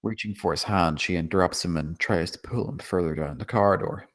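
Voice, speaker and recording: A man's voice: low-pitched, a little fast. A man narrating a screenplay, reading out stage directions at a table read.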